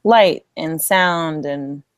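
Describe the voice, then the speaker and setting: A woman's voice: low voice, extremely slow, no echo, studio quality. A woman talking casually as a panelist on a podcast. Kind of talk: casual chat.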